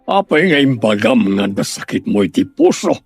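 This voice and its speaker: A man's voice: lowish voice, sing-song, normal speed, breathy edge, no echo, faint music behind, heavily acted. A man playing an earnest, dutiful grown son in a radio drama, with an Ilocano accent. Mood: concerned.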